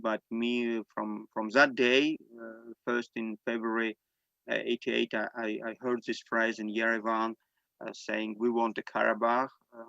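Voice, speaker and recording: A man whose first language is Armenian, speaking English in halting testimony on a video call, with many fillers and restarts. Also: mid-range voice, vocal fry, a bit bright, no room sound, muffled recording. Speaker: panel speaker giving testimony. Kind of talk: unscripted spoken testimony.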